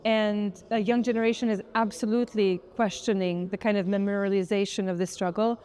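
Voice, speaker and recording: A woman's voice: medium pitch, steady tone, brisk, darkish tone. A woman speaking as an artist in an interview, explaining their work in an articulate, thoughtful way. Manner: articulate, thoughtful, frequent "kind of" hedging. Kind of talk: reflective interview explanation of an art project.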